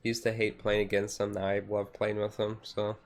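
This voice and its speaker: A man's voice: on the low side, completely flat delivery, brisk. A man streaming a casual, laid-back ASMR chat in a rambling way, with music behind him.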